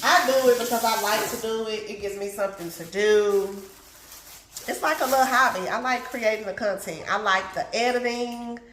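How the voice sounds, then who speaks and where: A woman's voice: on the high side, moderate pace, a bit bright, reverberant, slight background noise, rough recording. A middle-aged woman vlogger chatting casually while she cooks in her home kitchen, sounding congested and calling her viewers y'all and baby. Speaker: lifestyle vlogger. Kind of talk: casual chat while cooking.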